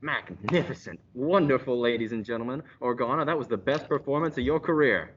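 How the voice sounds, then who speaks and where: A man's voice: medium pitch, a little fast, natural voice. Pompous male radio-drama star coming unglued, played by a man, theatrical and repetitive, on a dull, noisy recording. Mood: grand, then disbelieving. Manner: theatrical, pompous, repeats himself. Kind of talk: hammy radio-play acting.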